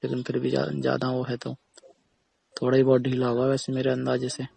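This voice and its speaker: A man's voice: medium pitch, completely flat delivery, brisk, vocal fry, dark tone, husky, dry recording. A man playing a defensive tailor who keeps explaining himself insistently to a customer in a casual phone conversation. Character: defensive male tailor arguing patiently with a customer.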